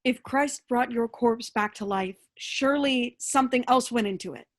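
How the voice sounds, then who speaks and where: A woman playing an earnest, higher-voiced believer. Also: normal speed, close to an everyday voice. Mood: earnest, coaxing. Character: earnest, coaxing woman, a devoted hopeful friend. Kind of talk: staged reading of a comic play.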